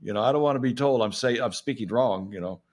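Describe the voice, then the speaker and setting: A man's voice: mid-range voice, fast talker, darkish tone. An older man with a Texan accent, co-hosting a casual chat and telling stories with some stumbles. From Texas. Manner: storytelling, stumbles on repeated words. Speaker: co-host.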